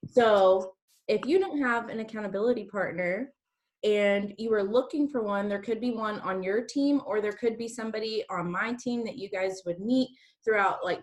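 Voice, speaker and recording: A woman in her early thirties giving an informal motivational talk to an online group as a co-hosting team leader, chatty and peppering her sentences with "like". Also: on the high side. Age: early 30s. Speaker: direct-sales team leader co-hosting a training.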